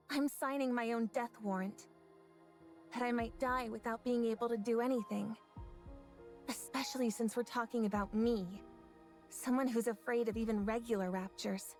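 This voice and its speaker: A woman's voice: a little high, lightly acted. A woman playing a timid but stubbornly loyal young female android soldier in an anime game dub, earnest and pleading.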